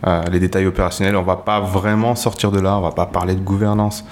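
A man who is a podcast guest, speaking in a halting way with many fillers. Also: deep voice, little pitch movement, a little hiss or hum. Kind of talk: casual podcast discussion.

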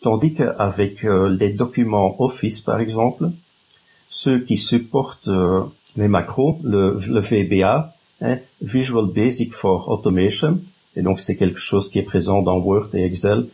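Husky low-voiced man with a Flemish accent. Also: measured pace, mellow, poor audio. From Flanders, Belgium. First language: Dutch. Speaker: guest security expert. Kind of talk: explanatory interview talk.

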